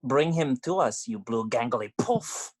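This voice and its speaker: A man's voice: mid-range voice, lively intonation, measured pace, darkish tone, slightly rough. A man voicing an irritable, threatening penguin henchman in a comedy radio play. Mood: irritated, threatening.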